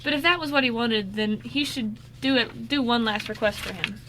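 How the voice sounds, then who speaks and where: A woman's voice: fairly high pitch, normal speed, background noise. A woman narrating a scripted amateur radio drama, now and then stumbling over the script.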